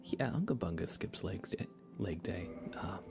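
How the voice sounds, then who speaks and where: A man doing a soft-spoken ASMR live stream, chatting to viewers in a low-key way and now and then slipping into whispers. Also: expressive, moderate pace. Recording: loud game music behind the voice. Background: video game music.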